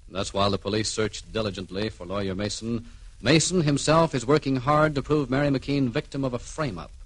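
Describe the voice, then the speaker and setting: A man narrating an old radio serial with portentous, measured emphasis. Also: medium pitch, slightly rough, no echo, low-quality recording. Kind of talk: dramatic scripted narration, 1940s-50s radio.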